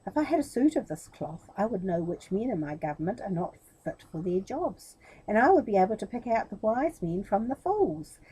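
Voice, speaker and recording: A woman's voice: mid-range voice, very animated, moderate pace, warm tone, slightly rough, poor audio. A woman voicing a vain, pompous male emperor in a stately, self-assured manner for a children's storybook. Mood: pompous, eager.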